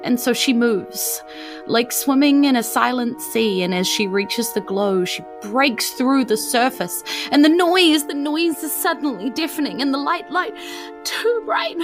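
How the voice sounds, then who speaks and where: Woman telling a dark suspense story, slow, high bright voice, music underneath. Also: lively intonation. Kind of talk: dramatic scripted story narration.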